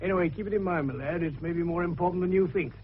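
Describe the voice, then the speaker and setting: An actor playing a shrewd, jovial older doctor turned detective in a British radio drama, avuncular and fond of teasing questions. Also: male voice, high voice, fast talker, close to an everyday voice. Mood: musing, genial. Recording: dull lo-fi radio recording with hiss.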